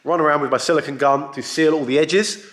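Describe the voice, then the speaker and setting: A man vlogging casually from his workshop, joking and self-deprecating, with a croaky voice. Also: fairly high pitch, fairly even intonation, fast talker, a bit bright, a little hiss or hum. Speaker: vlogger building a coffee van. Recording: echoey workshop.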